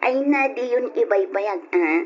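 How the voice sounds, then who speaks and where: A chatty, teasing Ilocano woman in an old-style radio drama. She is high-pitched and gossipy, full of exclamations. The recording is muffled, with slight noise. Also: expressive, unhurried. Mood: teasing, excited. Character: chatty, teasing woman, the bride-to-be's in-law confidante.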